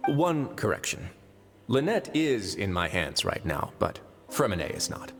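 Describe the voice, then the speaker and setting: A man voicing a calm, shrewd prison lord in an anime game, wry, unhurried and politely threatening. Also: fairly low pitch, creaky, full character voice. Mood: composed. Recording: long reverb, music underneath.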